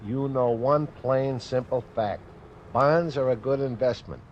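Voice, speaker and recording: A man plays a put-upon, lazy husband from a 1950s radio comedy. He grumbles and protests in a dry, whiny way at a middling pitch. The old broadcast sounds muffled, with faint noise. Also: a little slow, close to an everyday voice. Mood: sarcastic, grumbling. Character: grumbling lazy suburban husband, sleepy and sarcastic.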